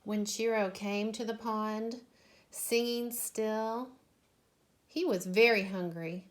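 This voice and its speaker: A woman reading a children's storybook aloud expressively, with measured, deliberate phrasing. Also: medium pitch, steady tone.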